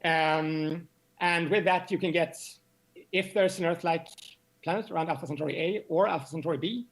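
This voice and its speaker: A man speaking as an expert interview guest, explaining in a halting way and often repeating words as he searches for phrasing. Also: on the high side, rough recording. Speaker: interview guest, astronomy professor.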